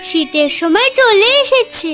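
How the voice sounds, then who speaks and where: An eager little girl from a cartoon, voiced by a woman, with a very high voice. She coaxes and wheedles her mother. Children's music plays behind her. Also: animated, unhurried, fairly bright tone, heavily acted. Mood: coaxing. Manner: coaxing, wheedling.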